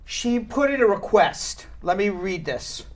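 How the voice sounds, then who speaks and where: A man's voice: high voice, sing-song, a little slow. Male legal show host, blunt and joking, fielding viewer questions. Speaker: immigration lawyer and show host. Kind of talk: live Q&A show.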